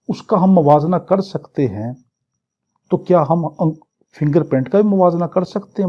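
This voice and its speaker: A man's voice: a little high, breathy edge, muffled recording. A Pakistani man lecturing for exam students in a didactic way, explaining clauses and posing questions that he answers himself. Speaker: law lecturer. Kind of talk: exam-prep lecture, reading English clauses and explaining them.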